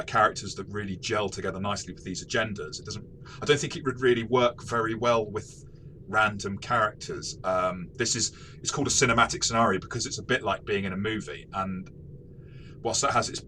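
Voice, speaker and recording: A man's voice: lowish voice, pushed and bright. A man talking through a tabletop game review as a game master, in a casual, reflective, explanatory way. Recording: faint background noise.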